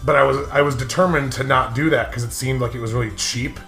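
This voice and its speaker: A man's voice: medium pitch, fairly even intonation, fast, gravelly, fairly bright tone. A man co-hosting a let's play, chatting casually and jokingly and prodding his co-host, often breaking into laughter. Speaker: co-host of a gaming show. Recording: roomy echo, faint music behind. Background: faint music.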